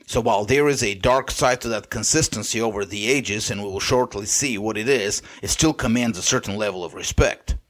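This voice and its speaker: A man with a Bulgarian accent, whose first language is Bulgarian, hosting a podcast and lecturing in a measured monologue with occasional restarts. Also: fairly low pitch. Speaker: podcast host. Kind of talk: monologue commentary.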